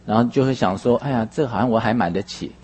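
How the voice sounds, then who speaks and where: A man interpreting a sermon phrase by phrase, husky and somewhat halting, rephrasing as he goes. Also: mid-range voice, darkish tone.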